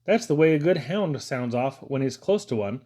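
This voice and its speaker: A man voicing a gruff but caring father, a seasoned hunter with a folksy, instructive way of talking. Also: medium pitch, expressive, moderate pace, mellow, close to an everyday voice. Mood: puzzled.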